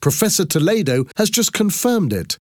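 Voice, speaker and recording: A man's voice: high voice, sing-song, normal speed, no room sound, clean studio sound, voice pushed up. A man voicing a proud, pleased male archaeologist in a story, presenting formally and emphatically. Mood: delighted.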